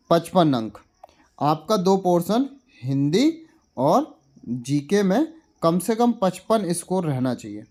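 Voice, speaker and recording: Higher-pitched man explaining. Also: darkish tone. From India. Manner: repeats points as questions and answers them, with check-in tags. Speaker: coaching teacher. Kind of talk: informal exam analysis explainer.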